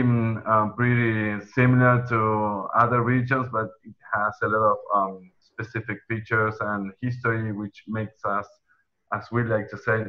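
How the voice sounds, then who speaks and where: A man's voice: fairly low pitch, muffled recording. A young man from Argentina with an Argentine Spanish accent, moderating a webinar panel over a video call, full of ums and restarts. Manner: hesitant, many ums and restarts. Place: indoor room at home. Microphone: headset earphones on video call.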